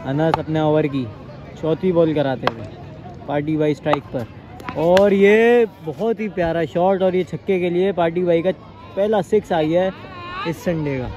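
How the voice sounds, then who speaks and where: A young man giving excited live commentary on a local cricket match outdoors, his voice rising sharply on big shots. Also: on the high side, moderate pace. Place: outdoor sandy ground with a crowd. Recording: crowd noise around an outdoor mic.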